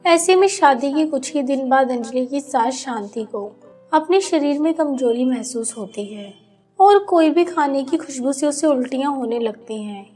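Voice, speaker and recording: A woman's voice: high-pitched, animated, a little slow, a little mellow, soft background music. A woman reading scripted narration for a cartoon family story.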